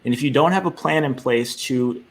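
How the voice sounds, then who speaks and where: A man argues his point on a business panel. He speaks emphatically and builds his case through rhetorical questions. His voice sits at an everyday middle pitch. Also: a little fast. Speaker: sales professional, panelist. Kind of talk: persuasive panel talk.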